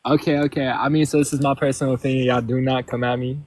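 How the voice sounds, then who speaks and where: A man's voice: medium pitch, level tone, quick pace, a little mellow, no room sound. A twenty-year-old man from Oregon calling into a live stream, talking in a casual, rambling, hedging way. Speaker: caller.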